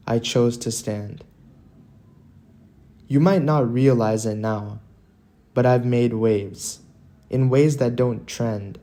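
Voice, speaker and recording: Slow, mellow man delivering earnest monologue. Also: medium pitch. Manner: earnest, deliberate, with dramatic pauses. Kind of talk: scripted motivational monologue narration.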